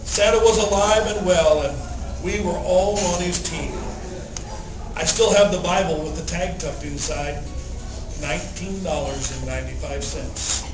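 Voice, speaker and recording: High-voiced man reading a Christmas story. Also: unhurried. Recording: roomy, distant mic, music underneath. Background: music.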